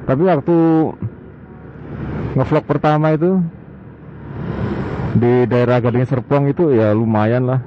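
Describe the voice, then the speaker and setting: A man chatting casually and haltingly as he vlogs from a motorbike. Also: mid-range voice. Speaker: motovlogger, host. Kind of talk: casual motovlog chat while riding. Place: outdoors on a moving motorbike at night. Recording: muffled band-limited audio, loud road noise. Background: traffic and vehicle noise.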